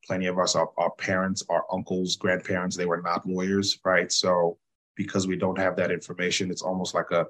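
Deep-voiced attorney from Zambia. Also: male voice, monotone, fairly bright tone. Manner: measured, explanatory, frequent "you know" and "right?". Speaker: personal injury attorney. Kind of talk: interview guest.

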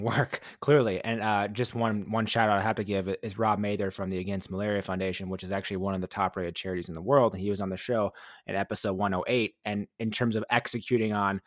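A man's voice: lowish voice, no echo, clean studio sound. A man hosting a conversational interview podcast, asking rambling, exploratory questions. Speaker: podcast host interviewing a guest.

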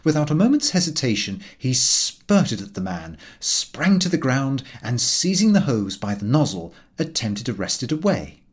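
Male narrator for comic fiction audiobook; husky edge, very lively intonation. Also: medium pitch, normal speed, few pauses. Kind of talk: scripted narration of a comic novel.

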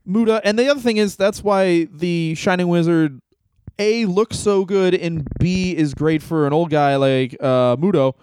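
A man's voice: sits high, a little slow, a little mellow, clear, resonant tone, no room sound. A man co-hosting a comedy podcast, talking casually in a rambling way, full of "like.". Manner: rambling, heavy use of "like". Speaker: podcast co-host.

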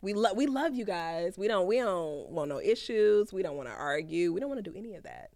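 A woman's voice: medium pitch, animated, brisk, warm tone. A woman podcast host, chatting casually and teasing her guest with laughter and swearing. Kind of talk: casual chat.

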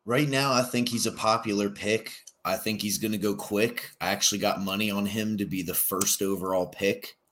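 Low-voiced man, relaxed sports pod co-host, filler-heavy casual talk. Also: normal speed, few pauses. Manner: laid-back, hedging with "like" and "I mean". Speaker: podcast co-host.

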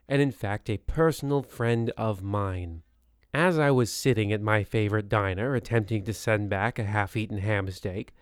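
A man playing a pompous, misanthropic host of a spooky anthology show, narrating in a formal, deliberate, theatrical way. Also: on the low side, clear, resonant tone, no room sound, clean studio sound, close to an everyday voice.

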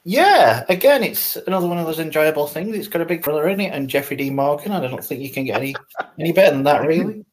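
A man's voice: fairly high pitch, lively intonation, quick pace, slight background noise. A man chatting casually as a podcast panelist. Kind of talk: casual chat.

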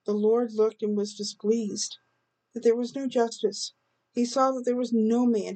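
A woman gives a devotional podcast talk with scripture. Her voice is medium in pitch, dark and mellow, with a slight hoarseness. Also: rough recording. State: slightly hoarse, recovering from illness.

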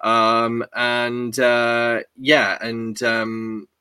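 A man's voice: mid-range voice, little pitch movement, extremely slow, bright, forceful tone, smooth, no echo, clean studio sound. A man hosting a casual chat about films, chatty and rambling, reading live comments as he goes. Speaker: podcast host.

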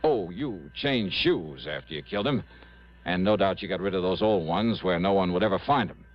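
A man's voice: sits low, expressive. An actor playing a shrewd, confident male insurance investigator in a vintage radio drama, speaking in a pointed, dramatic manner. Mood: accusing, pressing. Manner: pointed, deliberate, dramatic delivery. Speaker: star of a detective radio drama. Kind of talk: 1950s-60s radio drama acting with first-person narration. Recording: muffled vintage radio broadcast, faint music.

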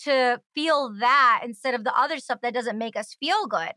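A woman's voice: on the high side, moderate pace, pushed and bright, no echo, studio quality. An American woman hosting a casual interview with gushing enthusiasm, often saying "like". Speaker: interviewer, host.

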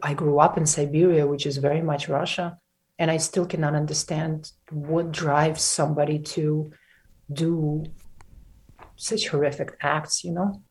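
A woman from Ukraine speaking as an interview guest, halting and emphatic with many restarts. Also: low-pitched, level tone, a little mellow, poor audio. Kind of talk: emotional conversational interview.

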